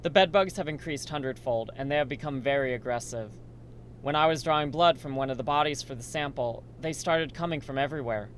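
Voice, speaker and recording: A man playing a steady, reassuring survivor and research partner in a horror radio drama, speaking earnestly. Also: medium pitch, fairly quick, slight background noise, natural voice, rough recording. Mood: urgent.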